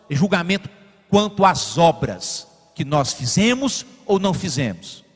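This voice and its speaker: A man from Brazil preaching a sermon as pastor, building to emphatic peaks and then falling to quiet asides. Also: high voice, big-room sound. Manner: directs the slide operator mid-sermon. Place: church sanctuary.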